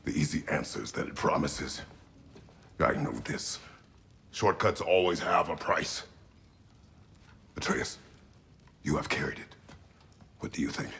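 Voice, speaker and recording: An actor playing a stern, brooding warrior god in a video game, speaking in terse, grave phrases. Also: male voice, very deep voice, unhurried, creaky, long pauses, a bit bright, slight background noise, heavily acted. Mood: grave, cautioning. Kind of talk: video game character acting.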